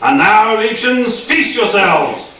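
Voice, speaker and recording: A man's voice: high-pitched, lively intonation, slow, bright, forceful tone, pitched above the performer's own voice, full character voice. A man voicing a pompous, gloating villain in a comic story. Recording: very echoey, distant from mic.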